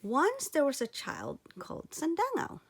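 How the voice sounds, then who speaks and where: A woman reading a children's story aloud in an expressive storytelling style. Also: high-pitched, a little slow, creaky.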